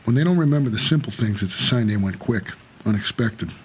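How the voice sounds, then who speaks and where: A tired guarded supernatural cabbie, american, brooding noir narration, bit of husk in the voice, short measured lines. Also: male voice, mid-range voice, expressive, breathy edge. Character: weary, guarded supernatural driver who ferries the dead. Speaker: actor, lead and narrator of audio drama. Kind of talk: first-person noir audio drama narration.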